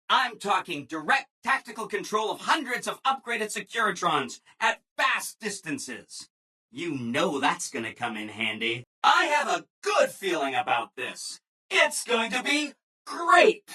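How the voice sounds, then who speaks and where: Game robot assistant: bright, very animated, ingratiating, relentlessly upbeat people-pleaser. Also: male voice, sits high, slightly rough, heavily acted. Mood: upbeat, eager. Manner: chipper, ingratiating, emphatic, apologetic people-pleaser. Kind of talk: scripted video game dialogue.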